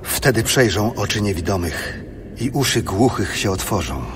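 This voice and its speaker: A man's voice: fairly low pitch, expressive, moderate pace, background noise. A man giving a solemn, dramatic narration of scripture for an audio production.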